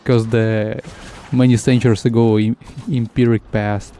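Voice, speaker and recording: A man who is a native Russian speaker, streaming a game with casual, halting, jokey commentary. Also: on the low side, little pitch movement, warm tone, slight background noise.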